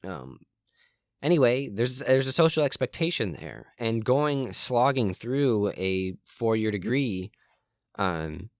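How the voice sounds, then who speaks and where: A young man hosting a solo podcast from his room, rambling with frequent ums and restarts, his voice a little hoarse and cracking. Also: mid-range voice, sing-song, slow pace, dry recording, clean studio sound. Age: young adult. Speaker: podcast host.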